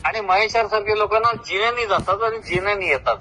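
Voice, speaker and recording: A man's voice: high-pitched, quick pace, bright, forceful tone, slight background noise. An older man with a Marathi accent from Maharashtra, talking boastfully and confrontationally at length on a recorded phone call. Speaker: retired government officer. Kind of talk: heated informal phone conversation.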